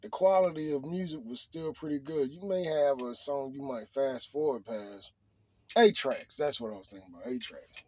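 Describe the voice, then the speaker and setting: A man's voice: medium pitch, normal speed, a little mellow, no echo. A middle-aged man talking off the cuff in an opinion monologue, preachy and emphatic, often repeating his phrases.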